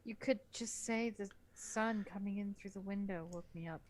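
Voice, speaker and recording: A woman's voice: mid-range voice, normal speed, a little mellow. A woman co-hosting a book club, reading lines of dialogue and throwing in teasing interjections. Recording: noticeable background noise and ambience.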